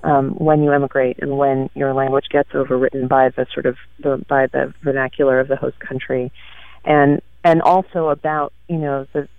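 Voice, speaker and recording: A man talking about his work in a halting, thoughtful way, with many fillers, as a guest on an interview show, heard over a tinny line. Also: medium pitch, level tone, vocal fry, smooth, no echo. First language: French. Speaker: guest author. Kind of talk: casual interview chat. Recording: tinny phone-like radio line.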